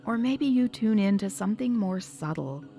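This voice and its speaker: A woman hypnotherapist leading a guided meditation in a soothing, measured, instructional manner. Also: mid-range voice, lively intonation, gravelly, warm tone. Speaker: hypnotherapist guiding a session. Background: background music.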